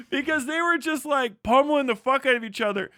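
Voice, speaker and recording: A man's voice: very high voice, expressive, moderate pace, sharp tone, dry recording, clean studio sound. A man hosting a livestream with casual, opinionated commentary, rambling and emphatic, swearing freely. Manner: rambling, heavily profane, emphatic. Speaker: livestream host.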